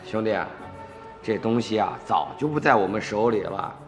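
Actor as sly, animated tomb-robber dealer. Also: male voice, lowish voice, normal speed, music in the background, natural voice. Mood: placating. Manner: cajoling, sly, interjection-laden. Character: wily, smooth-talking tomb-robber dealer, quietly menacing. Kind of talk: Chinese radio drama acting.

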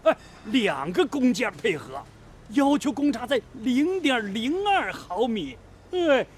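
A man acting a down-to-earth, animated and blunt mill worker in a Chinese radio drama. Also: very high-pitched, slow, slightly rough, heavily acted. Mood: admiring.

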